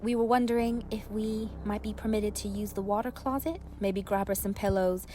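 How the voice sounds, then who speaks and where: A woman's voice: medium pitch, fairly even intonation, moderate pace, warm tone, slight background noise, natural voice. An actress playing a polite, hesitant but bold female hostage in a radio play, with a dry delivery. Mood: awkwardly polite.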